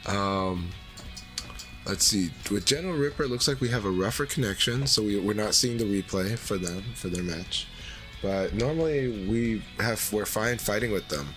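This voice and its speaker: A man hosting a livestream in a relaxed, rambling way, his voice deeper than usual after secondhand smoke. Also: normal speed, faint music behind, poor audio. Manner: relaxed, rambling, many fillers. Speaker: streamer. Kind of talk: casual livestream chat.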